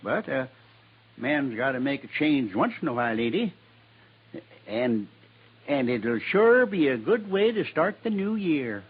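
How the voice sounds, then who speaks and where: An actor playing a cantankerous, crippled old frontier man with a husky voice and halting rustic drawl in a 1950s western radio drama. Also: mid-range voice, very animated. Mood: hesitant, softening. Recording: tinny, muffled old radio recording.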